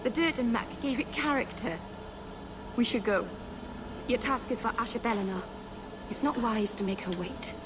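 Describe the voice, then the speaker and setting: A woman's voice: fairly high pitch, moderate pace, long pauses, crowd noise, lightly acted. A woman playing a nervous, naive, sweet young elf in a fantasy video game, rambling and apologetic. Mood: earnest, shy.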